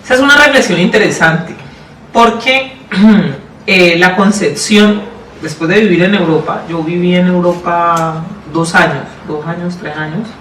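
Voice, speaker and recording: A woman with a Colombian accent answering interview questions in a thoughtful, deliberate way, often repeating and rephrasing herself. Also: fairly low pitch, a bit bright. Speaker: interview guest, public education official. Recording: roomy, distant mic, faint noise.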